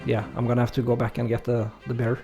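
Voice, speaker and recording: Relaxed Norwegian man with a gravelly voice. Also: medium pitch, fast, over music. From Norway. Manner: relaxed, hesitant, many fillers, reads chat aloud. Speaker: streamer. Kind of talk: casual let's-play chat with chat readers.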